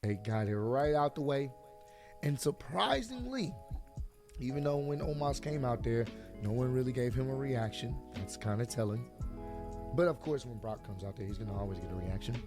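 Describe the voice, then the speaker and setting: A man with a hoarse, sore voice giving a casual review and talking straight to his viewers. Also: medium pitch, animated, moderate pace, music in the background. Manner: chatty, addresses viewers directly. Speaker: wrestling show reviewer.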